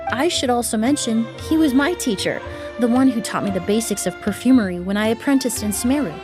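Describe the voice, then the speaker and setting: A woman's voice: on the high side, natural voice. A woman playing a gracious young perfumer. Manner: polite, measured, gracious. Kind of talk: game voice acting. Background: game background music.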